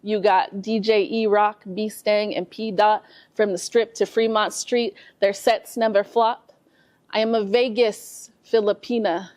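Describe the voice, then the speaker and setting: A woman from San Jose, a guest poet, chatting softly in an interview and reciting a rhythmic spoken-word poem. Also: mid-range voice, dry recording. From San Jose, California. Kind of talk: casual interview and poetry recital. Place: community space in an office building.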